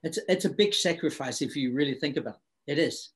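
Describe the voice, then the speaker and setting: A man's voice: a little high, quick pace. A middle-aged man from Samoa, whose first language is not English, speaking reflectively in an interview and repeating phrases for emphasis. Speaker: guest.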